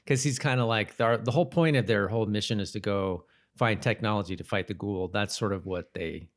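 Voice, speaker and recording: Guy podcast co-host, lowish voice, just chatting loosely and casually. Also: a little fast. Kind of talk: casual chat about a TV episode.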